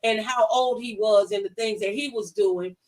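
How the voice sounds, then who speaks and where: Female call-in show host, medium pitch, conversational delivery, slightly off-mic sound. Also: moderate pace. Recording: distant from the microphone.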